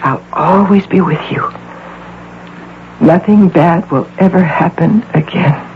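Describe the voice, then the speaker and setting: A woman's voice: fairly low pitch, takes it slowly. Pushy deluded lady playing doting mom, theatrical old radio drama, thin phone-like sound w/ hiss. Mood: tender, possessive. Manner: insistent, theatrical. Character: domineering, deluded executive woman posing as doting mother. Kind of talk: 1980s radio drama acting. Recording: tinny, noisy old radio broadcast.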